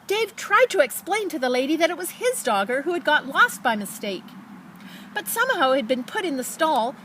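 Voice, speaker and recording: A woman's voice: high-pitched, slight background noise. Woman reading a children's storybook expressively. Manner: expressive, dramatic emphasis and pauses. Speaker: storybook reader. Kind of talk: children's picture book read-aloud with casual asides.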